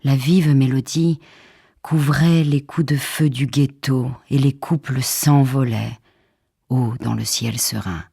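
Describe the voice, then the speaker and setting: A woman reads poetry for an audiobook in a solemn, measured, expressive way, with deliberate pauses and hushed phrases. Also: rumbling low, gravelly, slightly rough, no room sound, clean studio sound. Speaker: poetry reader. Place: radio theatre studio. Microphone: close studio microphone.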